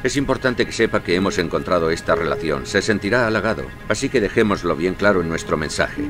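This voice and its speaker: An actor playing a gruff, commanding police commander in a Spanish radio crime drama, with a Castilian accent. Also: male voice, sits low, animated, fast talker, over music. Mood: decisive.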